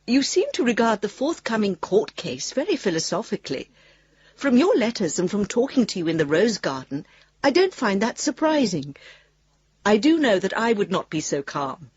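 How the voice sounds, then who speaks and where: An actress playing a thoughtful, cautiously excited woman, reading a gentle, tentative love letter aloud in a radio drama. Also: mid-range voice, moderate pace, slightly rough, lo-fi sound. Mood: tender, hesitant.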